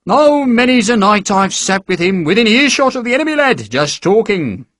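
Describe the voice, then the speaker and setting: A man voicing a boastful, jovial recruiting sergeant who flatters young men with a theatrical, wheedling manner. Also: high-pitched, moderate pace, no room sound, clean studio sound, pitched above the performer's own voice.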